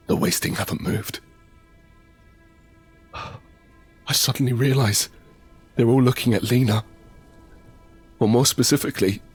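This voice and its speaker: A man's voice: medium pitch, husky. A man playing a tense survivor who narrates a zombie apocalypse audio drama in short, suspenseful phrases. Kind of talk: first-person dramatic narration with acted dialogue. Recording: background music under the voice.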